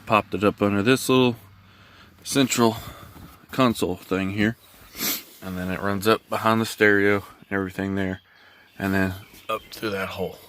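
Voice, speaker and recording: A man's voice: on the low side, a little hiss or hum. A man giving a casual, hands-on video walkthrough in a laid-back, folksy way. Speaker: hobbyist vlogger showing a truck install.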